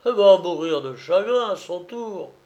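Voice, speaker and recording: A man voicing a blustering old Napoleonic drum-major in a storybook reading, booming and bombastic in colloquial soldier's talk. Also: animated, slow pace, a little mellow, muffled recording. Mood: furious.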